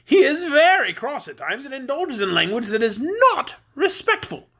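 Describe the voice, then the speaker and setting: A reader putting on a plump, merry old king in a storybook, with a high, bubbly voice that swoops up and down as he chatters. He talks on and on, ready to break into giggles. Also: very animated, normal speed, fairly bright tone, exaggerated voice. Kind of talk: audiobook character voice.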